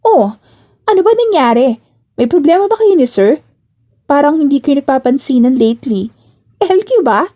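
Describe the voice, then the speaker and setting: A woman performs a bantering female coworker in an audio drama. She is high-pitched, teasing and inquisitive, always prying like a close friend. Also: expressive, normal speed, pauses often, dark tone. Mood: nosy teasing.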